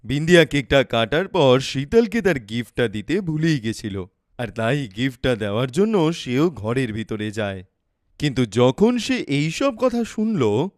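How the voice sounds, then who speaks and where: Animated male cartoon storyteller. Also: medium pitch, dry recording, studio quality. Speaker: narrator. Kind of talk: scripted cartoon story narration.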